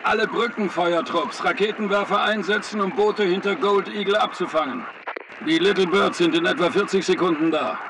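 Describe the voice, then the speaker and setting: A man playing a brisk, focused military air-support soldier, clipped and procedural, in a video game. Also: high voice, background noise. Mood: focused, urgent.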